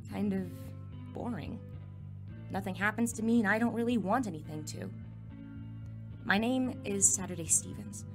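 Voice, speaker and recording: A young woman acting a wry, anxious teenage high school student in a scripted audio drama, talking conversationally with hesitant asides. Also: close to an everyday voice. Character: teenage girl, sarcastic, anxious, determined high school junior.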